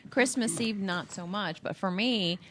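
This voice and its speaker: A woman's voice: medium pitch, measured pace, fairly bright tone, slight background noise. A woman co-hosting a radio show, chiming in with wry, playful quips. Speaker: radio co-host. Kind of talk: casual studio banter.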